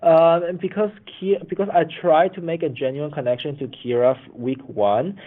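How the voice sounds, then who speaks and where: A man's voice: fairly high pitch, fairly even intonation, moderate pace. A man being interviewed as a former reality show contestant, talking off the cuff, often repeating and restarting his words. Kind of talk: spontaneous interview talk. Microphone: phone call. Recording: phone line, band-limited.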